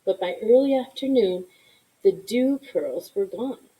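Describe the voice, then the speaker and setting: A woman reading a children's story aloud in an expressive style, with deliberate, dramatic emphasis. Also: mid-range voice, rough recording. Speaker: storybook reader.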